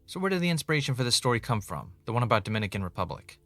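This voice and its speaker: A man's voice: medium pitch, very animated, rapid-fire, sharp tone, dry recording, soft background music. An actor playing a wry, dramatic man who hosts an investigative podcast, in a conversational audio drama style. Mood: musing. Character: male ex reality-show contestant turned investigative podcaster, wry, dramatic. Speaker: podcast host. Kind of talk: naturalistic audio drama acting, conversational narration.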